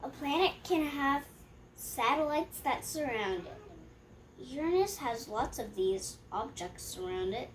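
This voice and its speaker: A young girl with a high voice reads a rhyming picture book aloud. She goes slowly and haltingly, stumbling over words and fixing them as she goes. There is some light noise in the home recording. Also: lively intonation, poor audio. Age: child. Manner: halting read-aloud with stumbles and self-corrections.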